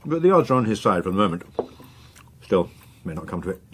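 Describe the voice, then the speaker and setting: An actor playing a suave veteran politician in a radio drama, urbane, measured and drily understated. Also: male voice, lowish voice, sing-song, slight background noise. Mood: dry, calculating. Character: suave, unflappable veteran politician and chief whip.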